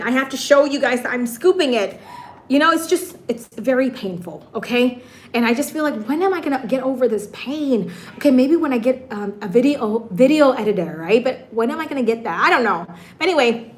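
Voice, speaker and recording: Woman, livestream host; hoarse and raspy today, bubbly, laughs a lot, higher-pitched voice. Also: a bit bright. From Michigan, USA. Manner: bubbly and chatty, laughs often. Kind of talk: casual livestream chat with viewers.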